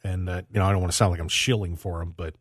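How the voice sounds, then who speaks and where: A man's voice: low voice, fairly even intonation, racing, husky, no echo. A man hosts a casual sports talk show. The sound is dry and close. He rambles a little and repeats phrases for emphasis. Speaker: radio show host.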